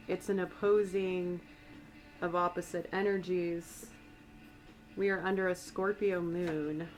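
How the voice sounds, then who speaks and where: American woman tarot reader, lowish raspy voice, rambling and gentle, faint music underneath. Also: rough recording. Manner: soft, meandering, conversational. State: slightly raspy from a cold. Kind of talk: ASMR-style channeled tarot reading.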